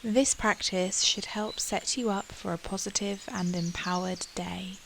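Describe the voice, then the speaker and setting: A woman leading a guided meditation in a calm, soothing manner with long pauses. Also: mid-range voice, creaky, slight background noise. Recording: steady outdoor nature ambience with birdsong. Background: birdsong and nature ambience.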